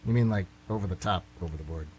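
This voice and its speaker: A man's voice: low-pitched, fast talker, darkish tone, muffled recording, slight background noise, natural voice. A man playing an eager, naive young student who rambles earnestly about his dreams in an amateur comedic radio play. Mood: sheepish.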